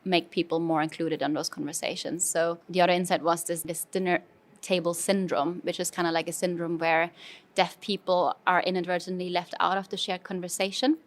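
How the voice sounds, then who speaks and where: A woman's voice: lowish voice, flat delivery, a little fast. A woman from Germany with a German accent, speaking as a guest in a conversational interview, thoughtful, with frequent fillers and restarts. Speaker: brand manager, interview guest. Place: conference venue.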